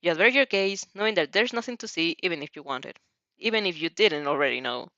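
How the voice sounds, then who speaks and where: A woman reading a story aloud in an expressive, amused way, sometimes stumbling and rereading phrases. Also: fairly low pitch, fast talker, no echo, dull audio. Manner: giggly, stumbles over phrases and rereads them. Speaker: fan fiction reader reacting as she reads.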